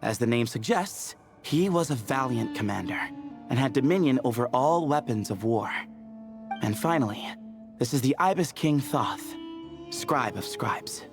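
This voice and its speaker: A young desert scholar in a video game, teasing and learned. His mid-pitched voice is very animated as he lays out old lore with playful ease. Faint music plays underneath. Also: measured pace. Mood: explaining, playful. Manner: lively, playful, explains lore clearly. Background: soft game music.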